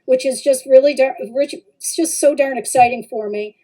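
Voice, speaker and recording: A woman's voice: sits high, normal speed, mellow. A woman who works as a trauma healing facilitator, explaining things steadily on a podcast. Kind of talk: podcast talk.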